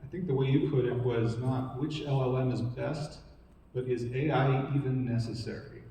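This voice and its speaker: Mellow-voiced male moderator in an echoey hall. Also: mid-range voice, fairly even intonation, normal speed, a little hiss or hum. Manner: fluent, summarizing, posing questions. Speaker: panel moderator. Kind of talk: panel discussion. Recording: echoey hall, distant mic.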